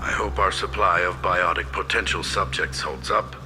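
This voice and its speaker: Man playing a cold, low-voiced clinical scientist. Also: fairly even intonation, moderate pace, music in the background, lightly acted. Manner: clinical, detached, matter-of-fact. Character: cold, detached male scientist logging human experiments. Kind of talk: recorded research log, game acting.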